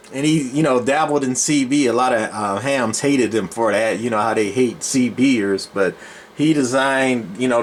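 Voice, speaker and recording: A man giving a casual, unscripted show-and-tell as a collector, rambling and often pausing to search for words. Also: medium pitch. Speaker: radio collector and channel host.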